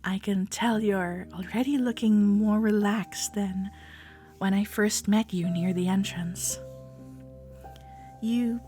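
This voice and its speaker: Casting: actress as tender, teasing female cuddle companion for comfort audio roleplay. Leisurely delivery, soft and breathy, attentive, light music underneath. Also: medium pitch, steady tone, slow pace, lightly acted. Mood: tender, relaxed. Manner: tender, soft-spoken, often dropping into a breathy whisper. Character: affectionate, gently teasing cuddle club woman, soothing and attentive. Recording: soft cafe jazz music behind. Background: soft background music.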